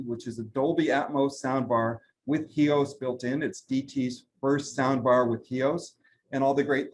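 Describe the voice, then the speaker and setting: A man, a brand president, giving a trade interview and running through product lines with steady talk and frequent fillers. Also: medium pitch, slight background noise. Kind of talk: business interview, product rundown.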